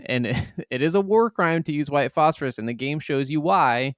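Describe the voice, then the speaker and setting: A man co-hosting a gaming podcast in casual chat, animated and free with swearing. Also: a little high, moderate pace, dry recording, clean studio sound. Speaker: podcast co-host.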